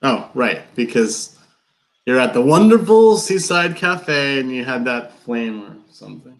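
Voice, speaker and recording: A man in a live play reading, playing an awkward, nervous man who rambles through a confession in halting, over-explained dialogue. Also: medium pitch, lively intonation, low-quality recording. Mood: sheepish, earnest.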